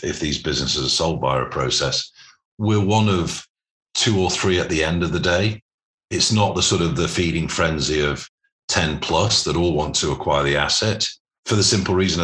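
A man speaking as an interview guest, explaining business matters in measured, corporate phrasing. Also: a bass voice, sharp tone. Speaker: podcast guest, private equity investor.

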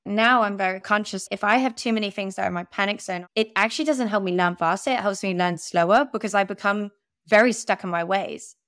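A young woman from the Netherlands, a podcast guest speaking candidly and laughing at herself in a relaxed interview. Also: medium pitch, fairly quick, no echo, clean studio sound. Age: young adult. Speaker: podcast guest, growth advisor. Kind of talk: conversational interview.

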